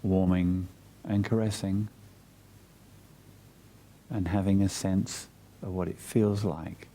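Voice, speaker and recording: A man leading a guided meditation in a soft, breathy, soothing and measured way, leaving long pauses between phrases. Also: deep voice, flat delivery.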